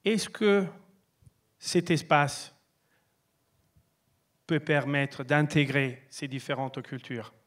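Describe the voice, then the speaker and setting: A man from Italy, giving a prepared stage talk in French, pausing often on fillers. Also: on the high side, fairly bright tone. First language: Italian. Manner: hesitant, many filler pauses. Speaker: pitch presenter.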